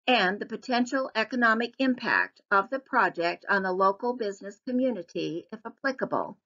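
A woman reads a formal public hearing presentation as a scripted voice-over. Her tone is bright and her delivery is even and measured. The audio is slightly dull and lo-fi. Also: medium pitch. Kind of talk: scripted narration.

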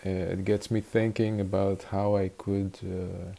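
A man in his forties from Flanders with a Flemish Dutch accent, teaching watercolor in halting English full of "uh" fillers, speaking into a webcam in an attic studio. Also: low-pitched, little pitch movement, vocal fry, mellow. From Belgium (Flanders). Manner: halting, many "uh" fillers, searching for words. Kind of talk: hesitant tutorial narration. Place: attic studio with wooden beams.

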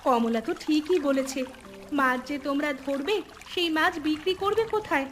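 A clever young village woman in a cartoon. Her voice is very high, bright and earnest. Clear music plays behind her. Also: animated, moderate pace. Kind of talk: cartoon voice acting.

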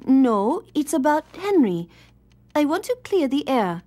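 An actress playing a composed, businesslike young woman who arranges fights in a video game, speaking in measured, formal phrases. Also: high-pitched, very animated, warm tone. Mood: earnest. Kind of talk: video game cutscene acting.

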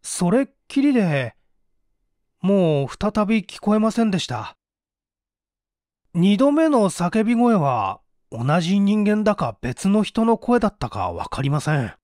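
A man's voice: sits high, dry recording, clean studio sound. A man voicing a timid young villager who recounts a frightening night in an audiobook mystery. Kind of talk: audiobook reading of a vintage mystery.

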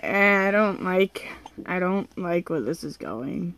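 A woman chatting casually while gaming, excitable and given to exclaiming in frustration. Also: fairly low pitch, slow. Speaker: gaming video creator. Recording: noisy home recording with clicks.

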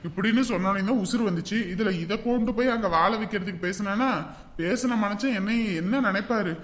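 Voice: medium pitch, fairly quick, lightly acted. A performer playing a weary, irritable younger brother and fed-up husband, grumbling and long-suffering in a comic family skit.

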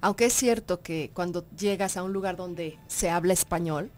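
A woman's voice: lowish voice, normal speed, darkish tone. A young Mexican woman with a Mexican Spanish accent, hosting a morning radio talk show, chatty and full of questions. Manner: chatty, asking questions, greeting listeners. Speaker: radio host. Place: radio studio. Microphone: studio microphone on a boom.

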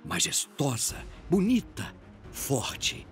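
A man's voice: medium pitch, huge pitch swings, drawn-out words, music in the background. A man with a Brazilian accent narrating a scripted story in a dramatic audio drama style.

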